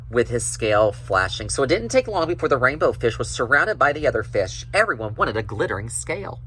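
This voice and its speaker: A man telling a children's story in an expressive storybook reading style. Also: on the high side, a little hiss or hum, low-quality recording.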